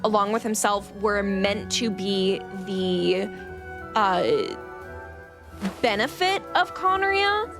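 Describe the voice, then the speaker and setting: A woman game streamer talks casually with her viewers over clearly audible background music. Her voice sits at a middle pitch. She rambles through a story recap, playful and easily sidetracked. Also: a bit bright.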